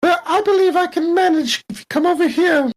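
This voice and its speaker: A woman voicing a brisk, bossy technician in a tabletop game, close to her own voice. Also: very high-pitched.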